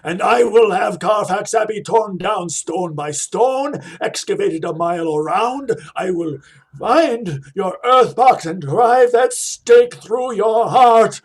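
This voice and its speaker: Radio drama monster-hunting doctor, male actor: slow, husky, grave, resolute, emphatic. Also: high voice, expressive, exaggerated voice. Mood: defiant. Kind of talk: theatrical radio play acting.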